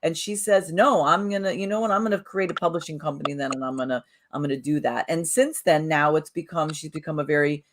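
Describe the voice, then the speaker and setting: A woman with a notably low voice hosts a relaxed spiritual conversation. She wanders through her thoughts, restarting sentences and tossing in "you know" often. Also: quick pace, hardly any pauses, noisy background. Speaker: podcast co-host. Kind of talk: casual spiritual chat.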